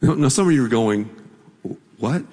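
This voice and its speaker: A man's voice: mid-range voice, lively intonation, normal speed, mellow. A man preaching a sermon as pastor, telling a story in a conversational, playful way with asides to the congregation. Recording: long reverb of a large hall.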